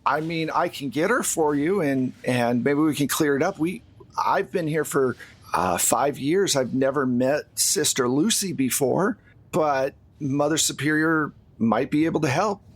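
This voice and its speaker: A man voicing a flustered, kindly church sister in a tabletop game, halting and apologetic as she fusses over strangers. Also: on the high side, lively intonation, slightly rough. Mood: puzzled, helpful.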